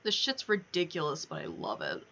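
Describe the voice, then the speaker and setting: A woman's voice: fairly low pitch, huge pitch swings, a little fast, vocal fry, pushed and bright, lo-fi sound. A woman chatting casually on a gaming livestream, playfully swearing and talking to the game characters as she plays. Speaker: livestreamer playing a video game.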